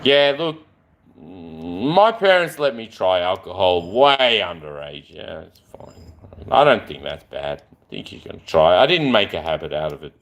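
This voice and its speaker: A man's voice: deep voice, sing-song, measured pace, a bit bright. A man, chatting in a rambling, affectionate way on a live stream. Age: 40s.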